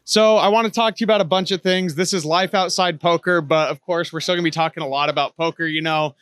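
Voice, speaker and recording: A man's voice: on the high side, fairly even intonation, quick pace, fairly bright tone, smooth, no room sound. A man in his twenties hosting an interview, opening with a scripted intro and then asking questions in a friendly, conversational way. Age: 20s. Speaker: interview host. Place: on a cruise ship.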